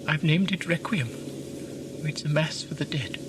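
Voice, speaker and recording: A man's voice: fairly high pitch, normal speed, close to an everyday voice. A man playing an earnest, troubled composer in a science fiction audio drama. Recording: faintly noisy drama recording.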